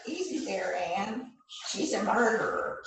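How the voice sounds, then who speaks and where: A woman playing a bossy, showy police detective in an amateur readers theater rehearsal, speaking in a deliberate, emphatic way. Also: mid-range voice, expressive, crowd noise, natural voice. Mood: triumphant. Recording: roomy, distant mic with faint noise.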